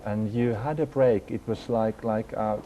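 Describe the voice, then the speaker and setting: A man's voice: on the low side, steady tone, a little slow, noisy background. A man interviewing a guest on a TV breakfast show, his questions hesitant and stumbling.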